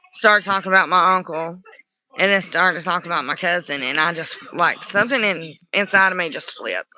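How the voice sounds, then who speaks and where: A woman livestreaming casual chat to her viewers, hoarse from screaming, rambling and apologetic. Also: lowish voice, pushed and bright, rough recording.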